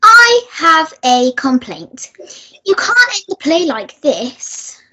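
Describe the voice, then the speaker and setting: A woman's voice: high-pitched, sing-song, takes it slowly, sharp tone, exaggerated voice, low-quality recording. A woman playing an outspoken, indignant audience member phoning in to a radio play. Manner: assertive, complaining. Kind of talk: scripted radio play dialogue.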